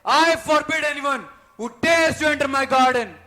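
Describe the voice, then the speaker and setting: A performer in a school stage play acting a selfish, bullying giant, declaiming in furious shouts. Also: high-pitched, moderate pace, a little hiss or hum. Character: selfish, bullying giant man who owns a garden.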